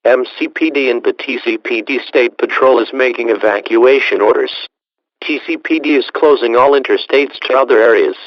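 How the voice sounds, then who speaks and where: A man's voice: medium pitch, normal speed, dry recording, lightly acted. A man playing an emergency alert announcer, reading a formal scripted broadcast message in a dull lo-fi recording. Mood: official. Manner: formal, official. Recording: dull, dry lo-fi audio.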